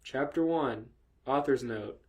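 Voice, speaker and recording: A man's voice: mid-range voice, slow pace, highs cut off, very noisy. Amused man reading aloud on a podcast. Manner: sweary, amused, interrupts own reading with asides. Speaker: podcast host reading fan fiction aloud. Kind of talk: casual comedic banter and read-aloud.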